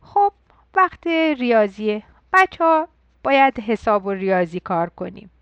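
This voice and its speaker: A woman voicing a cheerful female mouse schoolteacher in a bright, raised, encouraging tone. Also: a little high, lively intonation, clean tone, no echo, highs cut off.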